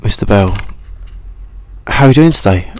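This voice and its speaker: A man's voice: on the low side, animated, normal speed, darkish tone. A British man hosting a podcast in a casual, bantering way. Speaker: podcast host.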